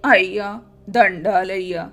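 A woman's voice: medium pitch, takes it slowly, a bit bright, faint music behind. Woman playing a humble, plaintive old village woman. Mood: pleading. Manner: deferential, plaintive. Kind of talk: acted moral-story cartoon dialogue.

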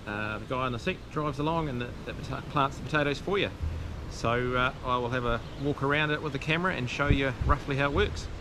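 A man gives a relaxed, plain-spoken farm walkthrough, full of ums and uhs, outdoors and in a tractor cab on an action camera. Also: medium pitch, fairly quick. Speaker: farmer. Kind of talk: casual farm vlog walkthrough. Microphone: action camera on the body or close at hand. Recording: loud wind and engine noise.

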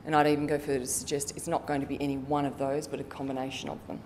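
A middle-aged woman giving a prepared formal conference presentation in a measured way, occasionally stumbling as she reads from her notes. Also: little pitch movement, a little mellow. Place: conference hall stage, indoors. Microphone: lectern microphone. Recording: reverberant hall, audible low background rumble.

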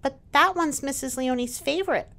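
A woman's voice: high-pitched, moderate pace, pushed and bright, voice pushed up. A woman voicing an earnest young boy lion cub in a storybook, full of questions. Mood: curious, concerned.

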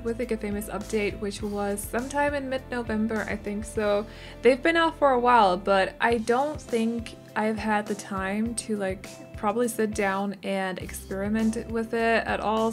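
A young woman talks through her game build in a relaxed, chatty voiceover. Her voice sounds slightly rough and strained, and she laughs lightly and corrects herself as she goes. Quiet background music plays under her voice. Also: fairly high pitch. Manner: chatty, self-correcting, giggly. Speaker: Sims builder narrating a speed build. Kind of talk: casual voiceover commentary.

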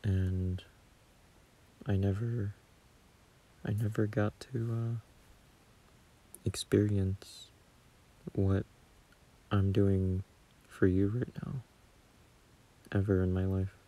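A man speaking softly and hesitantly into a close phone mic as a gentle, caring boyfriend in a soothing ASMR roleplay. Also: sits low, level tone, very slow, long pauses, poor audio. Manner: soft, hesitant, soothing, at times near whisper.

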